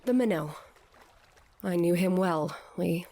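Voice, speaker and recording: A woman's voice: on the low side, slow pace, mellow. An actress playing a dazed, theatrical woman who declaims in a grandiose mock-Shakespearean way in an audio drama. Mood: mock-tragic. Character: dazed theatrical woman raving as Hamlet to a fish head. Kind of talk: scripted audio drama acting.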